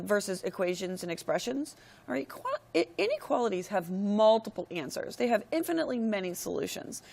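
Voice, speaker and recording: A woman teacher talks through a math lesson while she records her screen. Her voice is hoarse and gravelly because she is losing it. She explains each step in a steady, methodical way. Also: medium pitch. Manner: steady, step-by-step explaining with frequent "all right, go ahead".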